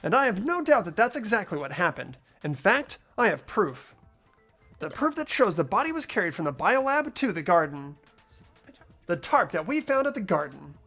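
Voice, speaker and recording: A performer voicing an earnest, anxious young male student who reasons out loud, in a fan dub of a video game. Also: mid-range voice, very animated, normal speed, faint music behind. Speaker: main protagonist.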